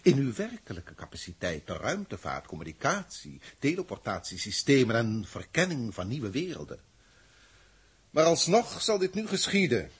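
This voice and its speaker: An actor in a 1960s science-fiction radio drama playing a formal, grandiloquent alien proctor who speaks deliberately and pompously. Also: male voice, medium pitch, wildly swooping pitch, slightly rough, heavily acted. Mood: lofty, instructive. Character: authoritative, grandiloquent alien proctor from a galactic federation.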